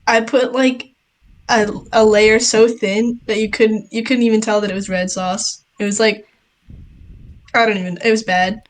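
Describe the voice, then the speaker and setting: A woman's voice: medium pitch, fairly even intonation, normal speed. A young woman co-hosting a student podcast, chatting casually and rambling with lots of fillers and giggles. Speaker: podcast co-host. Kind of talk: casual chat.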